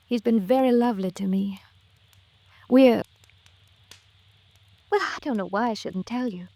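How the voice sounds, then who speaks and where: A woman's voice: medium pitch, darkish tone, no room sound. A woman acting a young, gracious, fragile American widow in a 1950s radio drama, hesitant and polite. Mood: tender, confiding. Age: young adult.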